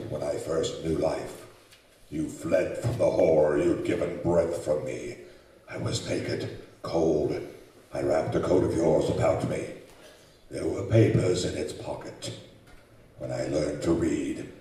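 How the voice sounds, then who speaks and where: A man's voice: a bass voice, steady tone, slow, warm tone, heavily acted. A man playing a lonely, menacing giant monster in old-time radio horror style, solemn and declamatory, pleading and bitter. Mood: bitter, brooding. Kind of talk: old-time radio horror acting before a live audience. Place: community center hall, live stage performance. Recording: echoey hall, distant stage microphone.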